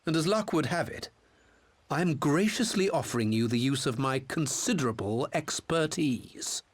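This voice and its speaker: An actor playing a smug, theatrical rogue imperial engineer in a video game, with a pompous, mocking, flowery manner. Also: male voice, on the high side, lively intonation, moderate pace. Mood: boastful.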